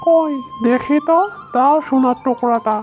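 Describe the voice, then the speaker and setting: A woman's voice: sits high, unhurried, darkish tone, faint music behind. A woman voicing a sly, greedy male village goldsmith in a cartoon, with a scheming, inquisitive manner. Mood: probing. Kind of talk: cartoon story dubbing.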